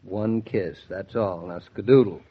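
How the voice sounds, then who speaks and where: An actor playing a teasing, stubborn but affectionate young American husband with wry, casual banter, in old-time radio drama style. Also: male voice, deep voice, measured pace, slightly rough, exaggerated voice. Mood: teasing, tender. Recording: muffled vintage radio transcription.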